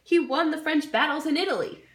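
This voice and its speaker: A woman's voice: high voice, brisk, fairly bright tone. A young woman, a student presenter, delivering a scripted history skit in an informal, jokey way. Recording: roomy, echoey room sound.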